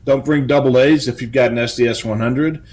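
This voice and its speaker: Man casually sharing tips, halting delivery. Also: medium pitch, steady tone, a little mellow. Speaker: co-host of a hobby show. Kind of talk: casual chat giving practical tips.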